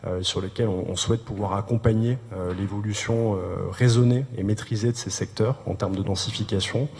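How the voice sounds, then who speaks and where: A man with a fairly low, mellow voice gives a technical presentation as an urban planner. He explains step by step in short, hesitant bursts. His voice carries a long reverb from a large hall. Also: steady tone, brisk, vocal fry.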